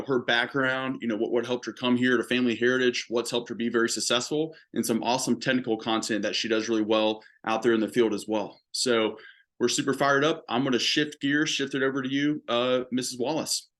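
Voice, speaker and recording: A man with a mid-pitched voice leads a team call. He introduces the next speaker in an eager, casual way, leaning on verbal fillers. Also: flat delivery, a little fast, a bit bright. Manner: enthusiastic, repeats "you know". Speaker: team leader hosting the call.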